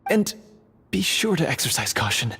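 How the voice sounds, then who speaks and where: A man playing an earnest undercover dungeon guard in a fantasy video game, speaking gravely, formally and urgently. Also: on the high side, big pitch swings, normal speed, pushed and bright, full character voice. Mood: resolute. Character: earnest undercover male dungeon guard, secret monster hunter. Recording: long reverb, faint noise.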